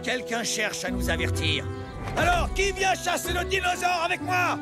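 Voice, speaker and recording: Very high-pitched headstrong cartoon hunter. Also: male voice, expressive, normal speed, over music. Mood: alarmed. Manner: forceful, insistent. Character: alarmist, headstrong male hunter rallying his clan. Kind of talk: French animated series dubbing.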